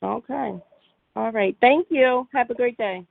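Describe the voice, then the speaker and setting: Creaky-voiced woman reporter on a phone line. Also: on the high side, measured pace. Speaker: reporter calling in. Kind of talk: polite press questioning.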